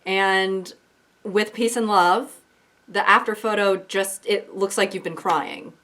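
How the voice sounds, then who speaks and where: A woman's voice: mid-range voice, steady tone, slow, a bit bright. A woman hosting a casual commentary vlog, chatty and sarcastic. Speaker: YouTuber.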